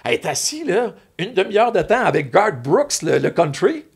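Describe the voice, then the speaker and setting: A man with a Québécois French accent telling animated, rambling anecdotes in a casual chat. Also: sits high, normal speed, creaky, slightly rough. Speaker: guest, former music TV host.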